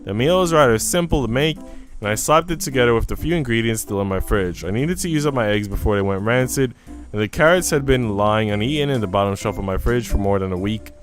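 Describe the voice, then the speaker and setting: A man's voice: on the low side, expressive, fast talker. Chatty young man livestreaming over music. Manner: rambling, informal, slangy. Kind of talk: casual livestream chat while reading a visual novel aloud.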